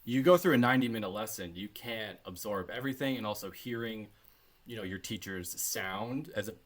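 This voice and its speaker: A Canadian man, a bassist, chatting as a podcast guest in a relaxed, rambling way. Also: a little fast. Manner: relaxed, rambling, with many fillers and restarts. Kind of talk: casual interview chat.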